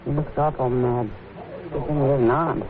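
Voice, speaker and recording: A woman's voice: a bass voice, steady tone, moderate pace, crowd noise. A woman playing a frank, wry frontier saloon woman in a radio western, American, with a dry, blunt delivery. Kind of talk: 1950s radio western acting. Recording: tinny, noisy 1950s radio recording.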